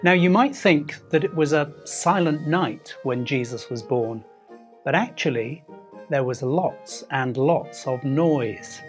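A man reading a children's story aloud in an expressive, dramatic and deliberate way. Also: a little high, soft background music. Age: 50s. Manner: animated, dramatic, deliberate, addressing young listeners.

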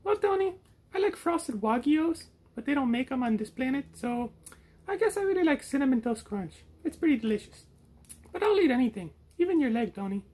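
A very high, squeaky puppet creature for a comedy puppet show. The voice is excitable and strained, with a teasing, gluttonous, cheeky streak. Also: male voice, fairly quick, breathy edge, exaggerated voice.

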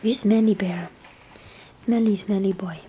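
Young girl vlogging in her bedroom, losing her voice, talks slow, pretty noisy recording. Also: medium pitch, steady tone, mellow. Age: young adult. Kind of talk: casual vlog chat. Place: small bedroom, indoors. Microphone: handheld camera at arm's length. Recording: noisy handheld camera audio.

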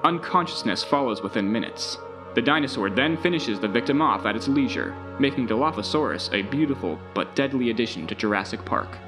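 A man's voice: mid-range voice, expressive, lightly acted. Man as a polished tour guide over music. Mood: presentational. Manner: measured, presentational. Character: polished recorded tour guide narrator, calmly enthusiastic. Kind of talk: scripted tour narration in an audio drama.

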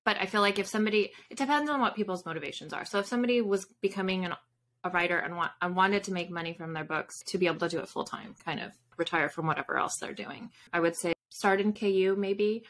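Woman, thirties, bright and forward voice, casual author-guest chat, thinking out loud, some noise on the recording. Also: mid-range voice, fast talker. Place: home room with bookshelves, on a video call.

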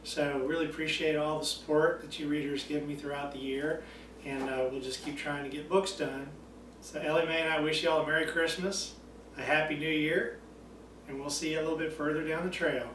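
A middle-aged man giving a relaxed, casual holiday greeting from his home, with many fillers. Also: on the high side, a little fast. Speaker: author and vlogger. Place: indoors by a stone hearth at home. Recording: roomy, distant, faint background noise.

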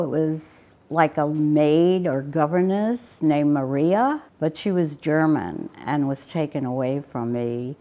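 A woman in her eighties shares memories of her family in an interview. Her voice sits low and she speaks slowly, recorded indoors. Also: a little mellow. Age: 80s. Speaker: Holocaust survivor interviewee. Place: indoor studio.

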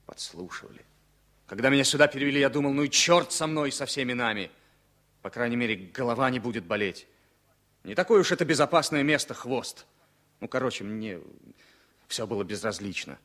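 An actor playing a shell-shocked former pilot, wry, grumbling and resigned, halting and ironic in a radio drama. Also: male voice, mid-range voice, lively intonation, fairly bright tone, close to an everyday voice. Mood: bitter, resigned. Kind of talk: 1970 Soviet radio drama acting.

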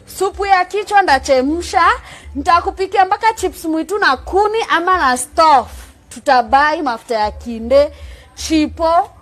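A young woman with a Nairobi accent making a brash, boastful audition pitch in street slang. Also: fairly bright tone. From Kenya. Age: young adult. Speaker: reality show contestant. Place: outdoors by a metal door and block wall.

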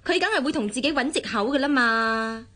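A bossy, suspicious wife in a radio drama. Her voice is fairly high, with a Hong Kong Cantonese accent, and she pushes her advice on everyone. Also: animated, brisk, sharp tone. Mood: scheming, bossy. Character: bossy, suspicious married woman, meddling friend.

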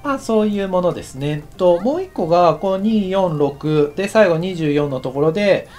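A middle-aged man, an anime director, explaining a technical topic in a relaxed, chatty lecture full of fillers. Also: sits high, normal speed. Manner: relaxed and chatty, many fillers, lively rising and falling delivery. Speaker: anime director teaching a course. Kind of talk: explanatory screen-share lecture.

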